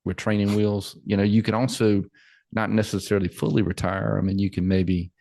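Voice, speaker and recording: A man's voice: fairly low pitch, little pitch movement, brisk, vocal fry, dark tone, no echo. A man in his forties, a financial planner chatting casually on a podcast, repeating words and laughing easily. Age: 40s.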